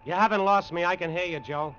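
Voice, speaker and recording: An actor playing a lone test pilot, awed and straining, in a halting, dramatic old-time radio monologue. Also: male voice, high-pitched, a bit bright, slight background noise. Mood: urgent calling. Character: male test pilot alone in a rocket plane, awed, straining. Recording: dull, lo-fi vintage radio recording.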